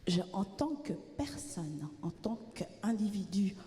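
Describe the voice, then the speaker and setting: A woman who grew up in France, a journalist, putting a formal, deliberate question from the floor. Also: medium pitch, very animated, warm tone, slight background noise. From born in Benin, grew up in France. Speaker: freelance culture journalist asking an audience question. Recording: very echoey large hall.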